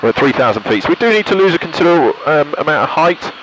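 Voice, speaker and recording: A man's voice: on the high side, very animated, very fast, background noise. A British man giving a casual commentary from a light aircraft cockpit, thinking aloud and making radio calls into a headset. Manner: thinks aloud while concentrating. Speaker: pilot and vlogger.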